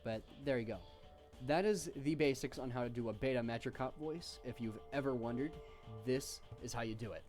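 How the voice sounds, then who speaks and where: A man giving an informal, offhand software tutorial with slangy asides. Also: mid-range voice, brisk, soft background music. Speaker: tutorial host. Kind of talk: casual software tutorial narration.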